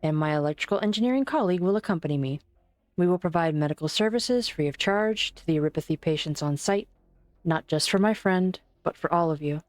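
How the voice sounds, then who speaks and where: A woman voicing a composed, low-voiced doctor. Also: brisk, darkish tone, dry recording, close to an everyday voice. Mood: steady. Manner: composed, polite and firm. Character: calm, formal female doctor. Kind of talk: amateur fan dub acting.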